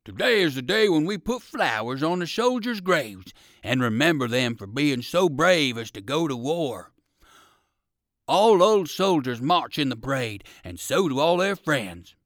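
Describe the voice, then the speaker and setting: A man's voice: on the high side, very animated, unhurried, no echo, clean studio sound. A man voicing a kind old circus dog soldier in a children's story, hearty, polite and emphatic. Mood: earnest, friendly.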